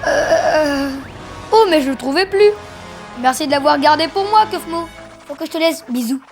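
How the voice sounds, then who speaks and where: A woman's voice: high voice, lively intonation, moderate pace, soft background music. A woman voicing a cocky, mischievous male cartoon rabbit in an amateur fan dub, with a flippant, taunting way of talking.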